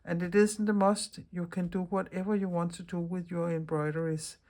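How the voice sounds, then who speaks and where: A woman's voice: on the low side, little pitch movement, normal speed, darkish tone. A middle-aged woman from Denmark with a Danish accent, hosting a chatty craft tutorial in English as a second language and often restarting her words. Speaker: craft video host. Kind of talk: casual craft tutorial talk.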